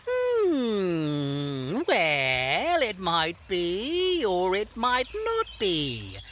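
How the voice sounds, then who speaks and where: A woman's voice: mid-range voice, huge pitch swings, extremely slow, a bit bright, a little hiss or hum, full character voice. A woman playing a whimsical, mischievous yet kindly elf in an audio drama, giggly and theatrical, with playful rhymes and grand old-fashioned phrasing. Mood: teasing, coy.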